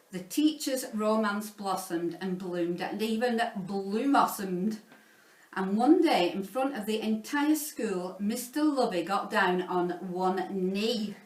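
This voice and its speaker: A woman reading a children's story aloud in an expressive, playful, theatrical way, like a teacher narrating to pupils. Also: mid-range voice. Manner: theatrical, playful, dramatizing sound words. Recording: roomy echo, distant from the mic.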